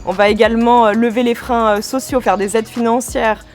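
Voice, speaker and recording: A woman's voice: on the high side, fairly even intonation, fairly quick, a little mellow, soft background music. A woman in a programme manager role, explaining her work in an interview.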